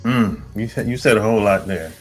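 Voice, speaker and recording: A man hosting an interview podcast, speaking in short, halting, broken phrases. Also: lowish voice, soft background music. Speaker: podcast host. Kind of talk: interview chat with scripted sponsor read.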